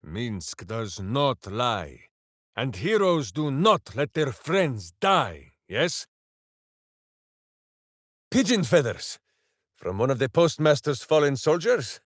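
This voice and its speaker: An actor voicing a big, simple, good-hearted berserker hero in a fantasy video game, bombastic and earnest. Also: male voice, mid-range voice, very animated, slow, pauses often, fairly bright tone, no echo, clean studio sound. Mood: earnest, boastful. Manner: bombastic, earnest, talks of himself in third person.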